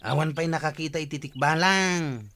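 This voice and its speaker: An actor playing a skeptical, scolding village companion in a radio comedy-drama, dismissive and needling. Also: male voice, fairly high pitch, a little fast, sharp tone, slight background noise.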